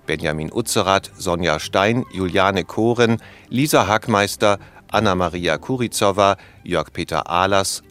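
Deep-voiced male radio announcer over music. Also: fairly bright tone. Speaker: credits announcer. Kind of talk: scripted radio credits reading.

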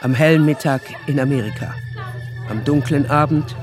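A woman's voice: deep voice, moderate pace. A woman narrating a radio play in a dramatic, scripted style. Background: music.

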